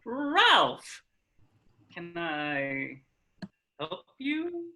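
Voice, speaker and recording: A woman's voice: mid-range voice, sing-song, moderate pace, pushed and bright. A woman playing a chipper, polite male video-game handyman hero with a folksy manner. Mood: awkward politeness.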